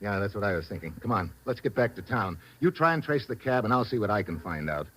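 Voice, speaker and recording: An actor playing a hard-boiled, urgent American adventurer in an old radio drama. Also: male voice, on the low side, animated, fast, over loud music, close to an everyday voice.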